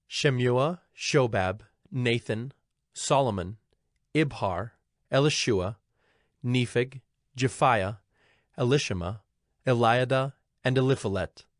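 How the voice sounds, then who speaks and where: Male scripture narrator over clear music. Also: medium pitch, dry recording, studio quality. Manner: formal, measured storytelling.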